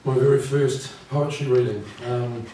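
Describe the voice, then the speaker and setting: Croaky man in his fifties, dark mellow voice, gentle reading, distant echoey room, faint hum. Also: medium pitch, steady tone, unhurried. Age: 50s. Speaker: poet. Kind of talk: live poetry reading. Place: live venue with audience.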